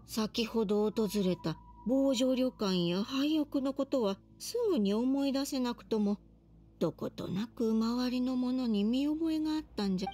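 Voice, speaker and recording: A woman voicing a gentle elderly woman in an anime game, wistful, with old-fashioned grandmotherly phrasing. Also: on the high side, close to an everyday voice. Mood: puzzled.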